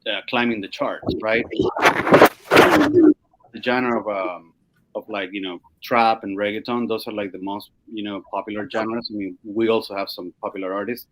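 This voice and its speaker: Low-voiced man, halting delivery. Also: expressive, slight background noise, low-quality recording. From Chile. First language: Spanish. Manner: halting, frequent "you know". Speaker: music curator. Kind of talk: casual panel discussion.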